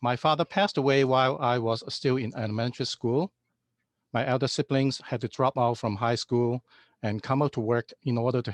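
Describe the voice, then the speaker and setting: A man's voice: mid-range voice, no room sound. Formal man from Hong Kong, dry sound. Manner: measured, formal delivery. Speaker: museum executive director. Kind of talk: prepared personal introduction. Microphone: video call.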